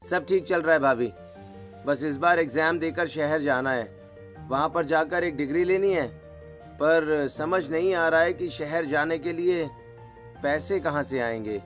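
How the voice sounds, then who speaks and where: A man's voice: a little high, a little slow, fairly bright tone, faint music behind. A man voicing a grateful, respectful young student in a village family story. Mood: earnest. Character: grateful, eager young student brother-in-law. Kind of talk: scripted story dialogue.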